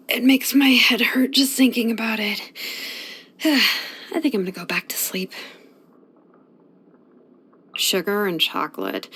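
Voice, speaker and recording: An actress voicing a laid-back, sleepy young female blacksmith in a video game, with a relaxed, drawling, casual way of talking. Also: medium pitch, slightly rough, full character voice.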